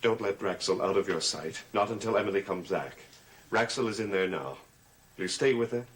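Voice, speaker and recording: An actor playing the brooding, resolute master of a cursed house in a gothic soap opera, speaking in a deliberate, commanding, dramatic manner. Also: male voice, on the low side, fairly bright tone, natural voice. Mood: worried.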